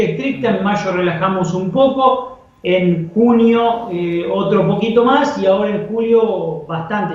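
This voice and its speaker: Un hombre con acento argentino que comenta la economía como analista invitado, de forma explicativa y repitiendo para enfatizar. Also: male voice, high voice, a little mellow. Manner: explanatory, repeats phrases for emphasis. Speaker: economic analyst guest. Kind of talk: live interview commentary on economics. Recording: muffled, very echoey remote call.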